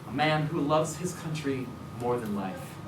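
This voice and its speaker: A man's voice: mid-range voice, expressive, measured pace, background noise, natural voice. A man playing a grieving, vengeful Confederate zealot in a musical theatre staged reading, speaking in a solemn, declamatory way. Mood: bitter resolve. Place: indoor restaurant staged reading. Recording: roomy live restaurant, distant mic.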